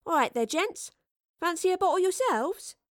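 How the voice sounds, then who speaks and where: A woman's voice: very high voice, sing-song, normal speed, no echo, studio quality, voice pushed up. A woman playing a cheeky, feisty young Tudor tavern girl in an audio drama, brash and colloquial. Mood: cheeky bravado.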